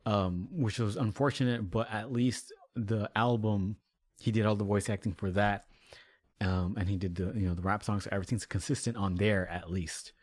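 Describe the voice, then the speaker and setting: A man with a low voice talks casually about how he made his video, rambling with plenty of fillers. The sound is dry and close. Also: steady tone, moderate pace, a little mellow, dry recording. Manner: rambling, many fillers, laughs easily. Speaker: musician and video maker.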